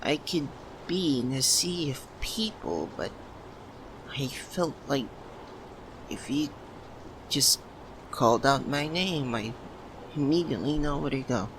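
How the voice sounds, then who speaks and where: A nervous, lovesick young man, played by a male voice actor in an audio roleplay. He talks very slowly, halting and stammering as if to his childhood best friend. There is clear ambient noise behind him. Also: medium pitch. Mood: confessional, wistful. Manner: hesitant, halting, stammering with pauses. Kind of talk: ASMR roleplay, soft emotional monologue to the listener. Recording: noticeable steady background ambience noise.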